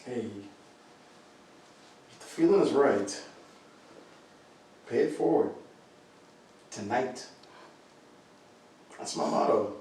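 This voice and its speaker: A man's voice: mid-range voice, expressive, takes it slowly, pauses often, darkish tone. A man acting in a radio drama as an easygoing, worldly uncle who banters with affection and teases protectively. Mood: caring. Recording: very echoey, distant mic, faint music.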